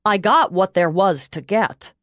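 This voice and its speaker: A woman's voice: mid-range voice, lively intonation, a little slow, fairly bright tone, no echo, clean studio sound. Woman voicing a dutiful, wry female police aide. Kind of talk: audiobook character dialogue.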